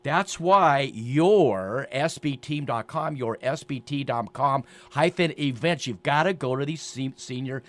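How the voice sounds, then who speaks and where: A man's voice: medium pitch, unhurried, hardly any pauses, fairly bright tone. A man in his sixties hosting a promotional radio talk show, speaking emphatically and repeating phrases for effect. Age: 60s.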